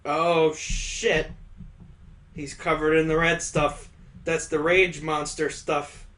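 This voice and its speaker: A man's voice: a little high, level tone, a little slow, fairly bright tone. A man hosting a gaming video, reading a visual novel aloud with sarcastic, irreverent joking asides.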